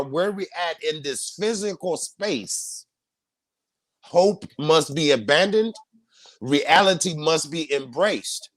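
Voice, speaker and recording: A man's voice: on the high side, no room sound. A man hosting a live online panel, speaking emphatically and repeating key phrases in a preaching cadence over a video call. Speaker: host.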